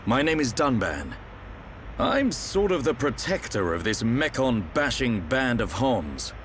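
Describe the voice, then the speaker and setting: A man's voice: mid-range voice, very animated, a little slow, fairly bright tone, slight background noise. A man playing a seasoned, protective warrior leader in a video game, assured and wry in manner. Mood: wry, easygoing. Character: seasoned, level-headed male war hero, protective leader. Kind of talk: video game cutscene acting. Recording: faint outdoor ambience.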